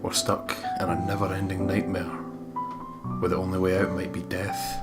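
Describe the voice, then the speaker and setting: A man's voice: deep voice, completely flat delivery, moderate pace. A man hosting a let's play, reading story narration aloud and commenting casually. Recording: music playing behind the voice.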